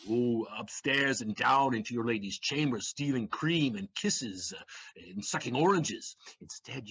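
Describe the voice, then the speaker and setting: A man's voice: a little high, a bit bright, husky, exaggerated voice. A man acting a shrewd, sardonic, swaggering servant in an audio play, with declamatory, mocking delivery and theatrical emphasis. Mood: teasing, mock-martial.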